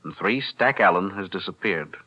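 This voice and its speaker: An actor playing a shrewd, composed defense attorney, measured and insinuating, in 1940s radio thriller style. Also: male voice, deep voice, expressive, bright, forceful tone, exaggerated voice. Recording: dull vintage radio recording.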